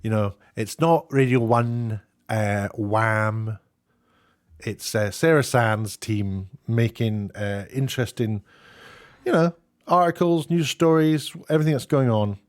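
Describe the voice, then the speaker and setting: A man's voice: medium pitch, slow pace. Sarcastic British man giving opinions. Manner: sarcastic, mocking. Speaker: YouTube commentator. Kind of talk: casual opinion commentary.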